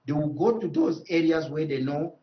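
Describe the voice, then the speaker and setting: A man with a Congolese accent speaking as a traditional leader in a news interview, emphatic, with repeated phrases and halting phrasing. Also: fairly high pitch, muffled recording.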